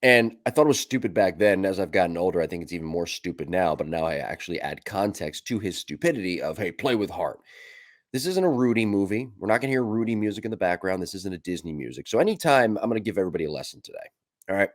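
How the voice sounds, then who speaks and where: Podcast co-host, man, low voice, congested from a cold, blunt and swearing. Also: fast talker, dry recording, clean studio sound. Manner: animated, profane ranting. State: stuffed up from a cold. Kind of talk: casual podcast chat.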